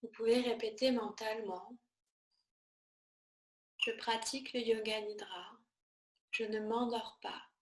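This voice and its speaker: A woman teaching yoga nidra, guiding a relaxation meditation in a soothing, measured manner with short, spaced-out phrases. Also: on the high side, a bit bright, roomy sound, poor audio.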